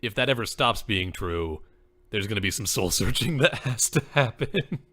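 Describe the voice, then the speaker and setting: Man with a bright, radio-ready voice. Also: fairly low pitch, lively intonation, normal speed. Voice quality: voice people call radio-like. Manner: earnest, peppers speech with "like". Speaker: podcast co-host and actor. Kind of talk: casual podcast chat.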